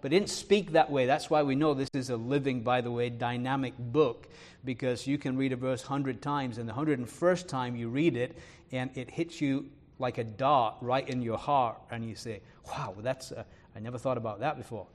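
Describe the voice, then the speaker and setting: A male preacher from northern England speaks in a Leeds accent blended with some Irish and American sounds. His pitch sits in the ordinary middle range. He reads scripture slowly and stresses the words, and his plain talk is earnest and full of "uh" pauses. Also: fairly even intonation. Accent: Leeds English, touched by Irish and American. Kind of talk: sermon with scripture reading.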